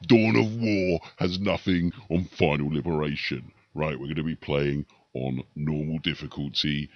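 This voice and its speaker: A man's voice: a bass voice, steady tone, measured pace, dry recording, muffled recording. A man hosting and narrating a war game battle report, with emphatic, theatrical commentary. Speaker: host and narrator.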